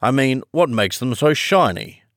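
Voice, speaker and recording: A man's voice: medium pitch, big pitch swings, unhurried, a bit bright, dry recording, studio quality, voice pushed up. A man voicing a polite, curious and slightly timid young girl in a children's storybook, in an earnest, wondering manner. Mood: curious, puzzled.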